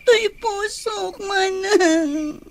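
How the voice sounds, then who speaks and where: Woman acting a high-voiced heartbroken young Filipino woman. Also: extremely slow, a crowd in the background, exaggerated voice. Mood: tearful, aching. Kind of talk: Filipino audio drama acting.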